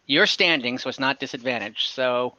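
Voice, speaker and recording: A man's voice: mid-range voice, moderate pace, pushed and bright, no echo. A young man running a tabletop game over a video call, casual and hesitant with many fillers. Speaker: game master. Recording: dull lo-fi call audio.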